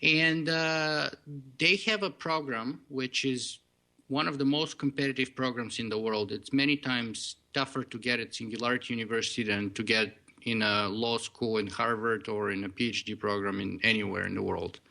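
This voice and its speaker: A man with a heavy Bulgarian accent speaks in a bright, forceful tone and a middle pitch. He tells his story as a guest in an earnest, measured way. English is not his first language. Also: steady tone, gravelly. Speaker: podcast guest. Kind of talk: interview, telling his story.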